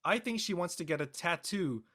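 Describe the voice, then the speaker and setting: A man's voice: high voice, expressive, normal speed, pushed and bright, full character voice. A man playing an easygoing, wry teenage boy, speaking casually in a drama read. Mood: thoughtful.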